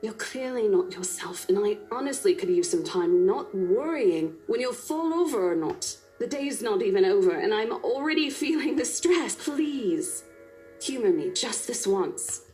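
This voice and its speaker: A woman's voice: mid-range voice, expressive, unhurried, warm tone, faint music behind, lightly acted. A woman voicing a caring, firm young estate agent in a visual novel game, earnest and insistent as she coaxes a coworker. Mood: pleading.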